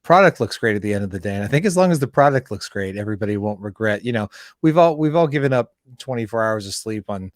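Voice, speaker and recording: A man hosting a casual filmmaking interview, talking in a halting way and repeating words as he forms his thoughts. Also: on the low side, expressive, no echo, studio quality. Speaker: interview host and fellow filmmaker.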